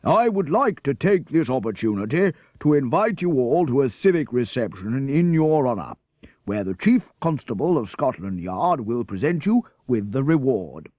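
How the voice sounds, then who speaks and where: A man voicing a formal town mayor giving a loud, ceremonious speech to a crowd. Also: medium pitch, very animated, moderate pace, dry recording, studio quality, voice pushed up.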